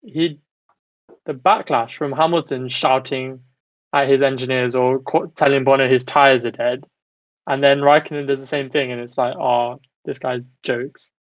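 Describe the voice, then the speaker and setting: Man with even pitch, dry muffled sound. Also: normal speed, dry recording. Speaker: podcast host steering discussion. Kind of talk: opinionated casual sports discussion.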